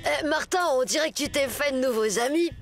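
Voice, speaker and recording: A woman voicing a mischievous bullying boy who is quick to whine, in a cartoon. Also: high-pitched. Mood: uneasy. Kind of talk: French animated series dub acting.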